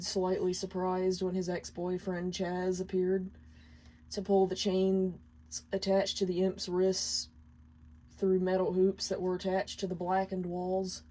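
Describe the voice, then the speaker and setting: A woman's voice: on the low side, mellow, low-quality recording. A woman reading scripted fiction aloud as a storyteller. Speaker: fan fiction reader.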